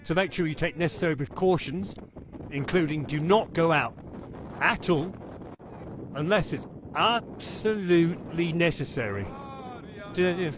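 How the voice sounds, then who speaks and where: A man playing a TV news anchor covering a storm disaster, halting and stumbling over his words. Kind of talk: amateur mock breaking news report. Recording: noisy, windy background rumble.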